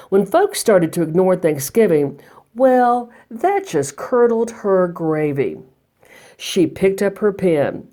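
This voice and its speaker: A woman reading a children's picture book aloud as a library storytime reader, expressive and emphatic, pausing for effect. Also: on the low side.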